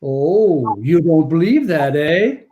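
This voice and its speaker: A man's voice: fairly high pitch, very animated, takes it slowly, highs cut off. A man playing a kindly, jolly old Santa Claus with a genial, courtly manner in a 1940s-style radio play.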